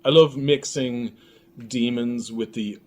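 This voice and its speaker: A man being interviewed as a filmmaker, chatting casually about his work in a halting, thinking-aloud way. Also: mid-range voice, fairly even intonation, darkish tone. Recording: clearly noisy room recording.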